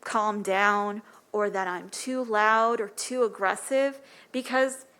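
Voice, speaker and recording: A soft-spoken woman with a light voice gives an earnest, impassioned public comment at a city council podium microphone. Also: mid-range voice, slow pace.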